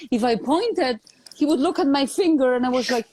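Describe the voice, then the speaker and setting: A woman's voice: sits high, animated, moderate pace, mellow. A woman in her forties with a Catalan Spanish accent, speaking earnestly as an interview guest, with many fillers and restarts. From Spain (Barcelona). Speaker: guest, education consultant. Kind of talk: conversational interview.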